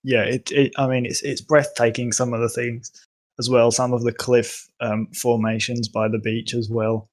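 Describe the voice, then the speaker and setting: Hesitant young Englishman, flat voice. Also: medium pitch, normal speed. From England. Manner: hesitant, many ums and restarts. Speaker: guest. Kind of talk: casual conversation.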